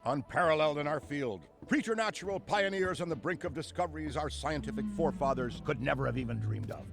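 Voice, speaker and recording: Middle-aged ghost hunter for a game: bookish, nostalgic, rambling, very animated pitch, music underneath. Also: male voice, fast, creaky. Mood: nostalgic, proud. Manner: wordy, theatrical, rambling. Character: veteran male ghost hunter, nostalgic, effusive, bookish enthusiast. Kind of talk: video game cutscene monologue.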